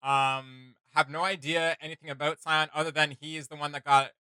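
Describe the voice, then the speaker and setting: A man with a bright, forward voice gives casual commentary as a reaction host. He rambles conversationally, and the sound is dry and close. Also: fairly high pitch, level tone, fairly quick, clean tone, no room sound.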